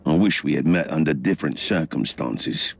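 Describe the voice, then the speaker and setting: A man's voice: a bass voice, animated, moderate pace, gravelly, husky, full character voice. An actor playing a sly, scheming male inquisitor in a video game, with an urbane, wry, theatrical delivery. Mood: grave. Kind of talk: dramatic video game cutscene acting. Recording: music behind the voice.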